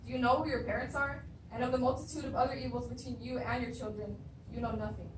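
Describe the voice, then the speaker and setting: A woman's voice: fairly high pitch, fairly even intonation, fast talker, natural voice. A young woman acting a stern, defiant blind prophet of doom in stage readers' theatre, solemn and accusatory. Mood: ominous, accusing. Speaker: drama student. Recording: roomy, distant mic, clear noise.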